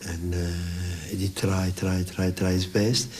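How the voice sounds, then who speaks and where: A man with a very deep voice tells a story in an interview, halting and repeating himself as he goes. Faint noise sits behind him. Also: flat delivery, a little mellow. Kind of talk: interview, recounting an event.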